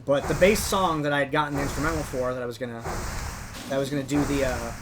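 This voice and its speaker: A man's voice: fairly even intonation, fast talker, background noise. A man telling long rambling stories with lots of "like" fillers while he plays a game. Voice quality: describes his own voice as higher than a deep bass beat. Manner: rambling, heavy use of "like" as filler. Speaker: gamer narrating his own playthrough. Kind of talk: casual storytelling commentary while playing.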